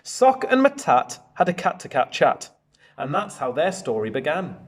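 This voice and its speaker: A man reads a picture book for young children. His pitch swings widely as he moves through the rhymes in a sing-song teacher's way, breaking off for chatty asides. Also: a little slow. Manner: animated, sing-song rhyming read-aloud. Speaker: primary school teacher reading a storybook.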